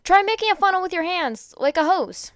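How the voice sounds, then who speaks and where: A woman's voice: extremely high pitch, very animated, fairly quick, no room sound, clean studio sound, pitched above the performer's own voice. A woman voicing a kind, eager, anxious teenage boy hero in a story reading, with an earnest manner. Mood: eager, helpful. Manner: fretful, rambling, apologetic.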